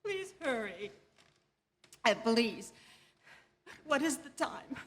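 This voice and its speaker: An actress playing an anxious, demanding, self-pitying invalid wife in a theatrical radio drama, pleading and imperious. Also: female voice, fairly high pitch, sing-song, slow. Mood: frantic impatience.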